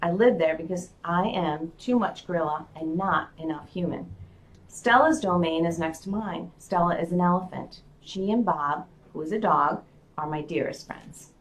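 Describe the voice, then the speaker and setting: A woman's voice: on the low side, normal speed, slight background noise, poor audio. A woman reading a children's novel aloud in the first person to students. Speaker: school community member reading to students.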